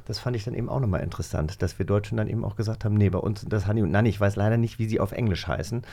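A man's voice: fairly low pitch, rapid-fire, creaky, dark tone. A man co-hosting a casual chat, explaining things eagerly. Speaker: co-host.

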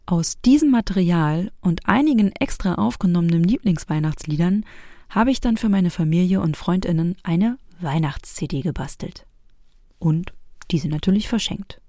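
A middle-aged woman from the former East Germany, hosting a podcast with spoken introductions and relaxed interviewing. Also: lowish voice, normal speed, no room sound, studio quality. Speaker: podcast host.

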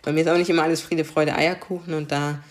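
A woman's voice: low voice, flat delivery, fast talker. A young adult woman from Karlsruhe, a diversity coach, explaining things earnestly in a conversational interview and often adding a questioning "ne?". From Germany, Karlsruhe. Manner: earnest, explanatory, frequent "ne?" tags.

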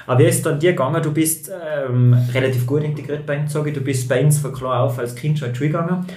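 A man with a Tyrolean accent hosts a relaxed dialect chat, talking quickly and asking long questions. His voice sits in a mid range and carries a roomy echo. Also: a little high. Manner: chatty, asks questions with dialect tags. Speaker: podcast host. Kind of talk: casual chat interview.

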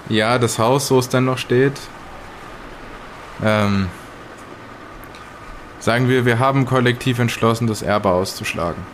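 A man's voice: medium pitch, unhurried, long pauses, slight background noise, close to an everyday voice. A man playing a reluctant heir in an improvised audio play, talking hesitantly with a dry, wry manner. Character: dry, reluctant male heir, uneasy about a treasure.

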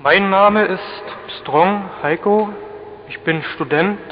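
A man's voice: high voice, slow, slight background noise. A man of twenty-one, a student, introducing himself to an assembly in a plain, matter-of-fact way. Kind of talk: brief self-introduction. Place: large church hall. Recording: echoey church hall, dull 1989 tape.

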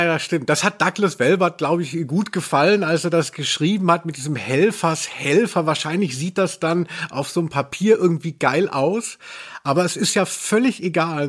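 A man's voice: on the high side, fairly bright tone. A man hosting a podcast, chatting casually about audio dramas. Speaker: podcast host.

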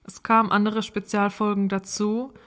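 A woman in her late twenties chatting casually as a podcast co-host, with a giggly, excitable and expressive manner. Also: mid-range voice, moderate pace. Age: late 20s. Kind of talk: casual chat reminiscing with a co-host.

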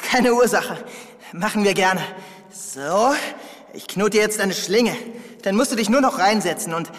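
A man's voice: high voice, unhurried, a bit bright. A man playing a friendly, adventurous boy in a children's audio play, eager and encouraging. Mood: helpful. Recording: long reverb.

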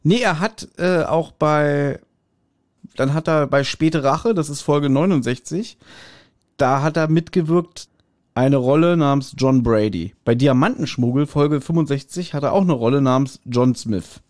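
A man's voice: fairly high pitch, a little slow, darkish tone, no echo, studio quality. A middle-aged man co-hosting a podcast, reviewing audio drama in a casual, colloquial and jokey way. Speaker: podcast host.

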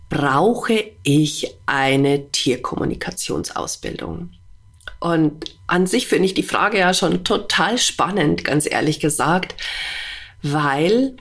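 A woman hosting a podcast with a stuffy, slightly congested voice, talking earnestly and directly to the listener with rhetorical questions. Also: sits low. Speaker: podcast host; animal communicator. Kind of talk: spontaneous monologue to listener.

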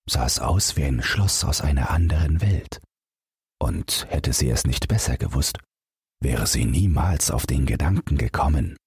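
A man with a husky, gravelly voice reading a bedtime fairy tale as an audiobook narrator in a measured storytelling way. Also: rumbling low, darkish tone, dry recording, clean studio sound.

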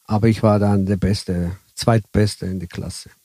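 A man from southern Italy speaking German with an Italian accent, telling his story haltingly as an interview guest. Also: on the low side, fairly even intonation, warm tone, no echo. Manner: halting, broken German with many fillers. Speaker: guest, bus driver. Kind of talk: casual interview chat.

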